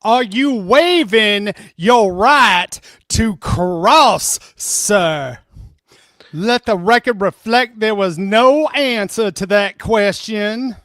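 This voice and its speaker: A sarcastic attorney, played by a reader, pressing a witness at a slow, deliberate pace in a dry recording. The questions come pointed and needling, as a dramatic reading of a deposition. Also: mid-range voice, fairly bright tone, no echo. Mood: stern.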